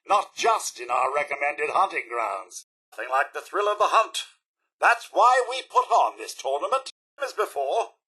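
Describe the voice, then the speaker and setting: A man's voice: on the high side, bright, forceful tone, husky, heavily acted, low-quality recording. A man playing a prim robot butler in a video game, haughty, over-polite and theatrically gloomy. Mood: brisk, courteous. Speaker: robot servant and attendant. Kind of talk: video game character acting.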